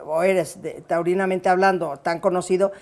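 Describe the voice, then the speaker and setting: Curious Mexican woman hosting. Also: lowish voice. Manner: lively and curious, quick reactions. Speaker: interview host. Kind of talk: casual interview chat.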